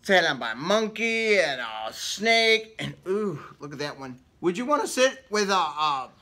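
A man in his fifties reads a children's story in a theatrical, lively way. His pitch swings widely and his tone is bright, at an unhurried pace. He breaks off for casual asides and questions to young listeners. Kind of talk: animated picture-book read-aloud with casual asides. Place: indoor room with book cut-outs.

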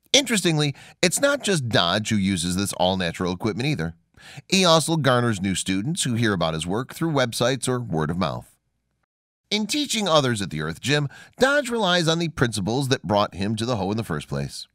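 A man's voice: medium pitch, fairly bright tone, no room sound, clean studio sound. A man reading scripted narration in the style of a documentary.

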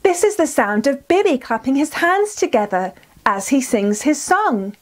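A woman's voice: sits high, big pitch swings, moderate pace. A woman in her thirties or forties presenting scripted storytelling for children, enunciating carefully and encouraging listeners.

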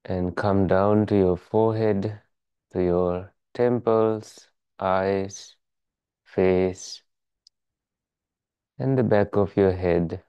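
Very slow, low, mellow meditation guide. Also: clear, resonant tone, no echo, dull audio. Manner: calm, measured, soothing instruction. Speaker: meditation teacher.

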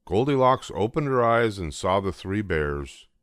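Older man, very low voice, storybook narration, dry close sound. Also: sing-song, a little slow, fairly bright tone, no echo. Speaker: storybook reader. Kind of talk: scripted storybook narration for children.

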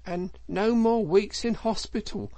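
A man's voice: high-pitched, unhurried, mellow, no room sound, voice pushed up. A man voicing an earnest, well-meaning little mole from a storybook, with a grave, solicitous manner. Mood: earnest, reproving. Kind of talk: storybook character voice.

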